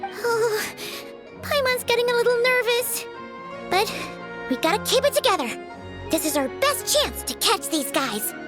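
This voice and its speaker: Squeaky, very high-pitched excitable fairy girl. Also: a little slow. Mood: excited, nervous. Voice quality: squeaky, childlike. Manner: flustered, exclaiming, refers to self by name. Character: tiny excitable childlike fairy companion girl, easily flustered. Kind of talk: animated game character acting. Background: background music.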